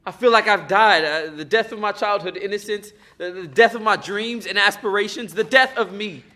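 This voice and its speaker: An actor playing a troubled, self-loathing young man who speaks haltingly and emotionally in a stage play. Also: high-pitched, pushed and bright. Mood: anguished. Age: young adult.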